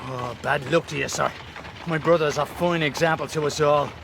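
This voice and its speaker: A talkative Irish coachman in a radio play. His voice is on the high side, respectful and full of colour. Clear outdoor travel noise runs behind him. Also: steady tone, moderate pace. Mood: bitter, gossipy. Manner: chatty, colourful, deferential. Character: garrulous, deferential Irish servant and coach driver. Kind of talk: radio drama acting. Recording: noisy outdoor vehicle sound effects.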